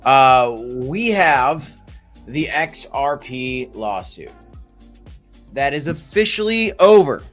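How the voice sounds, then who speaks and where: Hoarse man hosting a crypto livestream. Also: mid-range voice, fairly bright tone. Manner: jokey, repeats phrases, talks to chat. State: losing his voice, deep and hoarse. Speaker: crypto show host. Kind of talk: casual livestream chat.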